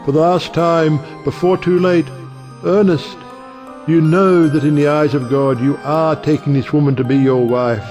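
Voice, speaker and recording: A man's voice: a little high, fairly even intonation, normal speed, darkish tone, faint music behind, close to an everyday voice. Man playing a commanding, solemn judge, declaiming. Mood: urgent, commanding. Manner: declamatory, urgent. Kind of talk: audio stage play acting.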